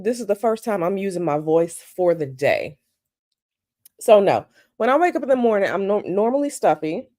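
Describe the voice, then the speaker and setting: A woman with a slightly raspy morning voice, chatting casually with viewers on a live stream from home and greeting them by name. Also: medium pitch, dark tone. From United States. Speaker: tarot channel host. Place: at home, bedroom.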